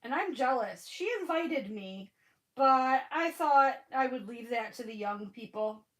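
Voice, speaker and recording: A woman's voice: high-pitched, expressive, measured pace, rough recording. A woman in her forties with a Michigan accent and a stuffy nose, chatting casually on a live stream and greeting viewers by name. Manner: frequent fillers and restarts. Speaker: livestream crafting host.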